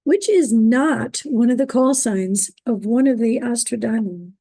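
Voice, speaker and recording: A woman moderating an online trivia quiz, reading out questions and standings, who is losing her voice and keeps clearing her throat. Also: a little high, mellow, no room sound. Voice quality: gravelly, husky. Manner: upbeat, reading standings, halting on invented words.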